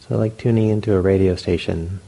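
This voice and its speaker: A man leading a guided meditation as a teacher, speaking gently and unhurriedly in short phrases with long pauses. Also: low voice, fairly even intonation, creaky, breathy edge.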